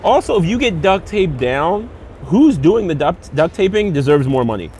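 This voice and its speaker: A man's voice: on the high side, very animated, normal speed, a little mellow, slightly rough. A male comedian hosting a casual street chat, trading crude, slang-heavy jokes. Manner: crude joking banter with slang. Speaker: comedian host. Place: outdoors on a city street.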